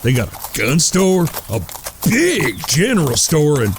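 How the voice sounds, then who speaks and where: An actor playing a stern, protective outlaw older brother in a Western audio drama, with an American Southern accent and a folksy, commanding way of talking. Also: male voice, fairly high pitch, huge pitch swings, measured pace, background noise. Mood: surprised.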